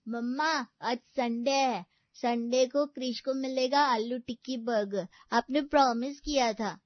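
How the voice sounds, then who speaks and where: A pleading little boy from a storybook family tale, put on by a woman, cutesy and insistent. The voice is lifted high, in a dry, slightly muffled recording. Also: fairly even intonation, a little slow, no room sound. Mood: eager, pleading. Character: eager, demanding little boy.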